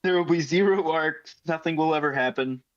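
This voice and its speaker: A man's voice: fairly high pitch, brisk, no room sound. A man chatting casually as a tabletop game player, with playful, dry irony. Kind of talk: casual joking chat. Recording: slightly dull, lo-fi audio.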